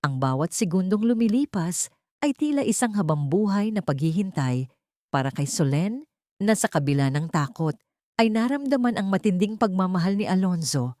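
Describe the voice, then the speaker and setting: Low-voiced woman narrating a drama, dry sound. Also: animated, moderate pace, dark tone, no echo. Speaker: audiobook narrator. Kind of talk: scripted dramatic story narration.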